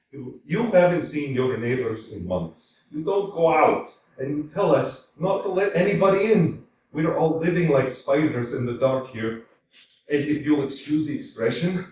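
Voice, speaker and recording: A man's voice: fairly high pitch, a little mellow, far from the mic, slight background noise. An actor playing a blunt, teasing older male servant in a staged reading, chatty and down-to-earth. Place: small community theater stage. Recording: echoey stage picked up from afar.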